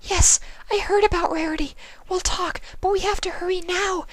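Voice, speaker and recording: Squeaky, anxious girl pony. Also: fairly even intonation, normal speed, no room sound. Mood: urgent, worried. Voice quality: very high, thin falsetto. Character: timid, anxious young female pegasus pony, sweet and urgent.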